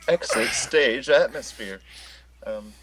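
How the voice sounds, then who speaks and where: A man's voice: medium pitch, slow, fairly bright tone. Man running a tabletop game. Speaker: game master.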